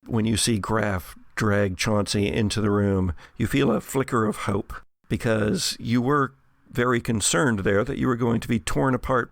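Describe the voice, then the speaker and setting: A man's voice: fairly low pitch, vocal fry, no room sound, studio quality. A man acting as game master, narrating a tabletop horror role-playing game.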